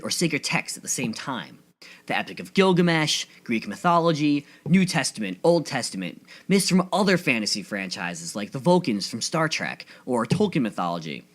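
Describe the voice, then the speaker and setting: A man hosting a solo podcast, reading scripted narration in an earnest, enthusiastic way. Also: medium pitch. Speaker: podcast host.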